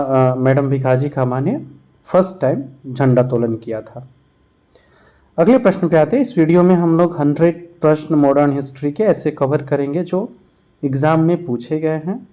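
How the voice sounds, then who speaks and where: A man gives an exam-prep lesson, posing questions and then explaining each answer. His voice sits a little high for a man and has a soft, mellow tone. The recording is somewhat dull and lo-fi. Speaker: teacher, exam-prep instructor. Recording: dull, muffled lo-fi sound.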